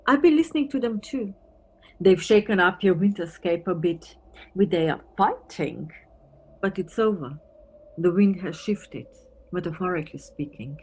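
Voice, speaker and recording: An actress playing a wry, wistful married police detective from Antwerp in an audio play, musing conversationally. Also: female voice, lowish voice, lively intonation, a little slow, darkish tone, slightly rough. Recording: noisy recording with background ambience.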